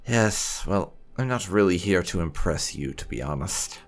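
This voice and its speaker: A man hosting a roleplay let's play, commenting in a theatrical, wry, drawn-out way. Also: low-pitched, lively intonation. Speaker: gaming channel host. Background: faint music.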